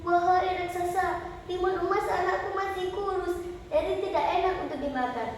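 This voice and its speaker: A woman's voice: extremely high pitch, fairly even intonation, moderate pace. A female storyteller voicing a loving, anxious village mother in a folktale, tender and pleading. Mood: fearful pleading. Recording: echoey hall, distant mic, faint noise.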